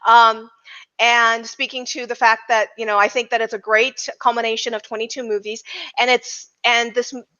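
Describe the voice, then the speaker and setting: A woman's voice: on the high side, little pitch movement, moderate pace, pushed and bright, dull audio. A woman chatting casually as a podcast panelist, speaking emphatically and repeating phrases for stress. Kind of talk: casual roundtable chat.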